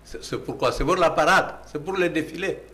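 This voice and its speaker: A man's voice: fairly high pitch, a little fast, pushed and bright. A man with a Congolese accent, arguing emphatically as a panelist in a political debate and posing rhetorical questions.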